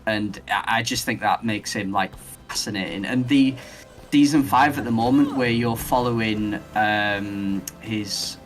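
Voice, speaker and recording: A man hosting a casual podcast who rambles enthusiastically and thinks out loud as he talks. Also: lowish voice, measured pace, soft background music. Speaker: podcast host.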